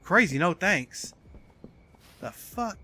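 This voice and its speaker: A man's voice: sits high, very animated, takes it slowly, sharp tone, slight background noise. A man in his forties doing casual live gameplay commentary while chatting with viewers, swearing freely. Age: 40s. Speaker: video game streamer.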